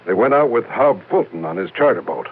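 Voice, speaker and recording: A man's voice: mid-range voice, lively intonation, brisk, slight background noise, natural voice. Actor as worried insurance man on tinny vintage radio. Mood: concerned, businesslike. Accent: American. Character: worried, suspicious male insurance office manager. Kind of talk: 1950s radio drama dialogue. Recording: thin band-limited old radio broadcast.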